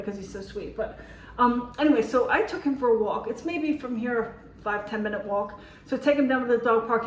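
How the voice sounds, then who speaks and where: A woman's voice: a little high, reverberant, distant mic, a little hiss or hum, rough recording. A woman with an American accent, a teacher, chatting casually to her vlog audience in a rambling way, with many fillers and restarts. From United States. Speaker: teacher and vlogger. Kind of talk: casual vlog monologue and storytelling.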